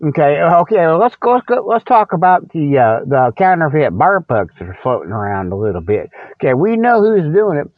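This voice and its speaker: Radio host, male, middle-aged: folksy, repetitive comic rambler, somewhat high-pitched, narrow telephone-grade sound with little room. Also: very animated, a little fast, few pauses, no room sound. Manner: folksy, repetitive, often checks with "okay". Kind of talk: rambling comedic monologue with spoof ads. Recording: muffled, phone-like radio sound.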